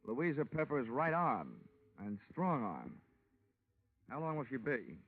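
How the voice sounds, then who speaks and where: An actor playing a wry, cool-headed insurance investigator in a hard-boiled, wisecracking 1940s radio detective style. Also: male voice, medium pitch, very animated, slow, full character voice. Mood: dry, wry. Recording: tinny, band-limited 1940s radio recording.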